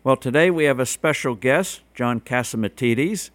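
A man hosting an interview show, giving political commentary and asking questions in a measured, conversational way. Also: mid-range voice, lively intonation, dry recording. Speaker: host.

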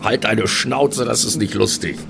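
A man's voice: fairly low pitch, a little slow. A man improvising in character as a player in a tabletop role-playing game. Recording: clearly noisy background ambience.

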